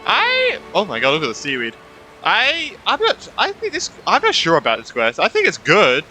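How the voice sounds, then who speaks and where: A man's voice: high voice, wildly swooping pitch, moderate pace, bright, forceful tone, soft background music. A man doing casual live gameplay commentary, reacting in a slangy, sweary way. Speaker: video game streamer.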